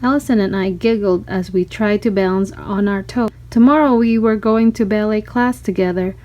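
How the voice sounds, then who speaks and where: A woman narrating a children's storybook in an expressive read-aloud style. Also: mid-range voice, normal speed, lo-fi sound.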